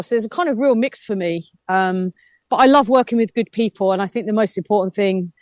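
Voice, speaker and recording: A woman's voice: medium pitch, a little mellow, no echo. A woman in her fifties, a reflective, chatty guest on a radio interview who laughs easily. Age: 50s.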